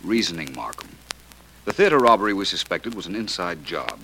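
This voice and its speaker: An actor playing an urbane, self-assured amateur detective in an old radio drama, crisp and dryly authoritative. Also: male voice, sits low, sing-song, a little fast, bright, forceful tone, heavily acted. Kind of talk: 1940s-50s radio drama acting. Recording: noisy old radio recording with clicks.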